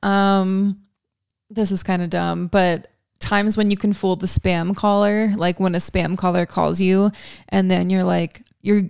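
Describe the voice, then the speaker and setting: A woman's voice: on the low side, vocal fry, a little mellow, clear, resonant tone, no room sound, clean studio sound. A young woman from Georgia with no country accent, chatting casually on a podcast with lots of ums and likes. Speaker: podcast guest. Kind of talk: casual chat.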